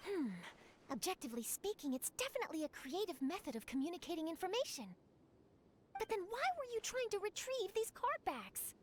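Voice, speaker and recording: An actress playing a polite, inquisitive young woman in a video game, analytical and expressive. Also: very high voice, fairly quick, slight background noise. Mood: thoughtful, curious.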